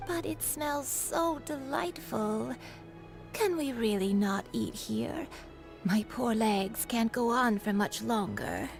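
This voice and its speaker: An actress playing a weary, gentle restaurant customer in a video game. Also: female voice, fairly high pitch, takes it slowly, creaky, soft background music. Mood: plaintive. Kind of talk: scripted video game dialogue.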